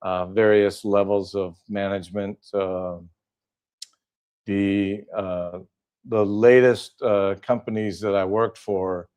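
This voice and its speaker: An older man with a fairly low voice talks as a guest in a relaxed interview. He is from Southern California, and he pauses often with "uh" and restarts his sentences as he goes. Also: smooth. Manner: hesitant, many fillers and restarts. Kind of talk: casual interview chat.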